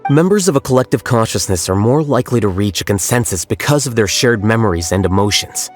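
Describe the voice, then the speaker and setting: A man voicing a cool, aloof young scholar who speaks in a calm, detached and analytical way. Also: lowish voice, fast talker, faint music behind. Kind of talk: game voice acting.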